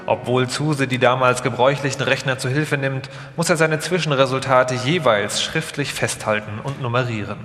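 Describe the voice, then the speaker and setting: A man's voice: medium pitch, moderate pace, few pauses, fairly bright tone. A man reading scripted documentary narration as the narrator of a live radio feature. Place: live stage performance in a hall. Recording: echoey hall, long reverb, some music.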